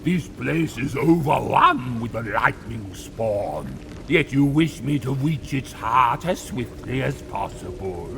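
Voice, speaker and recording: Slow, gritty zealot warlord. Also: male voice, mid-range voice, vocal fry, very noisy. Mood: suspicious, brooding. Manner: declamatory, grandiose, deliberate. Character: proud, defiant zealot warlord of a plague god. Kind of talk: video game cutscene acting. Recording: game dialogue over musical score.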